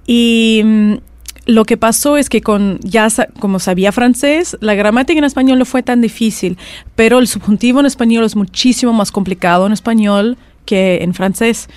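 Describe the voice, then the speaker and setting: A fairly high-voiced woman with an accent that mixes Spanish, Argentine and Mexican Spanish. She talks as a language teacher, telling stories in a chatty, animated way and often restarting her sentences. The recording is dry and close. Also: no room sound. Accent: mixed Spanish, Argentine and Mexican Spanish accent. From California, USA. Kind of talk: conversational interview.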